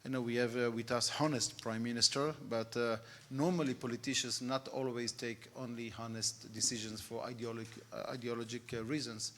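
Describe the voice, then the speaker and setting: Even-toned non-native English-speaking man. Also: medium pitch. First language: not English. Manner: halting, searching for words. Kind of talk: unscripted remarks.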